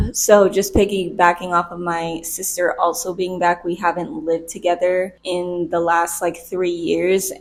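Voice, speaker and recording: A woman's voice: on the low side, creaky. A young woman from the United States talking candidly in a casual personal vlog, chatty and laughing easily. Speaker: vlogger.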